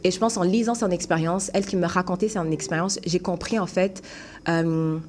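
Guest actress, young, non-Québécois French accent, a bit low-pitched, reflective and halting. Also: female voice, darkish tone, slight background noise. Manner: thoughtful, hesitant, many restarts and fillers. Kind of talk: casual interview chat.

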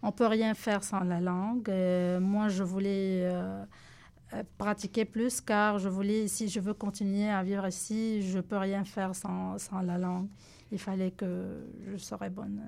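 Woman speaking halting French. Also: medium pitch, little pitch movement, vocal fry, darkish tone. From Lebanon. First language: Arabic. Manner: halting, searching for words in a second language. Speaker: guest. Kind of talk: radio interview answers.

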